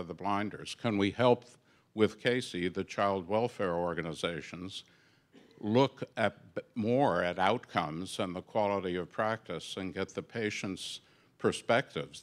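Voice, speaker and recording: An older man, a foundation founder, speaking earnestly on a panel into a handheld mic in a conference room, with frequent fillers and restarts. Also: sits low. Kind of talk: panel discussion remarks. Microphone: handheld microphone.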